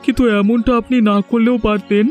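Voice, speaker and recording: A man's voice: very high voice, little pitch movement, quick pace, darkish tone, faint music behind, voice pushed up. A man voicing an earnest, kindhearted young village man in a cartoon, speaking emphatically. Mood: earnest, forgiving. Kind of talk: Bengali cartoon voice acting.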